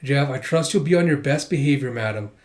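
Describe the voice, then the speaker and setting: A man's voice: mid-range voice, lively intonation, a little fast, close to an everyday voice. A man voicing a prim, stern servant with a formal, reproving manner in a comic read-aloud. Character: prim, stern servant minding a young lady.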